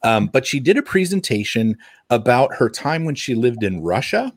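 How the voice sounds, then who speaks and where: A man with a lively, swinging intonation co-hosts a casual podcast. He is enthusiastic and jokey, and his mid-pitched voice is recorded close and dry. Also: normal speed, no echo. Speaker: podcast host.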